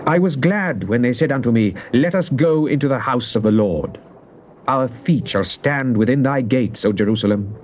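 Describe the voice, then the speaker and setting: A man's voice: medium pitch, moderate pace, darkish tone, close to an everyday voice. Devout psalmist played by a male actor, solemn and theatrical scripture delivery, muffled cassette sound with hiss. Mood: joyful, reverent. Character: devout psalmist pleading and praising God. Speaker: scripture reader. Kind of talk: dramatized King James Bible reading. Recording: dull, noisy old cassette tape.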